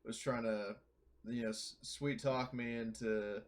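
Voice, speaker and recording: A man casually talking as a player in a tabletop roleplaying game, halting and informal, with some swearing. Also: mid-range voice, steady tone. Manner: informal, foul-mouthed, halting. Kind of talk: casual in-character game chat. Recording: clear background noise.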